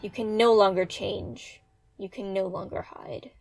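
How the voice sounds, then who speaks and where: A woman voicing an earnest royal pony princess in a parody cartoon, regal and measured but quick to sound wounded. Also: mid-range voice, fairly even intonation, gravelly, darkish tone, soft background music. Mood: commanding. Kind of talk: animated parody voice acting.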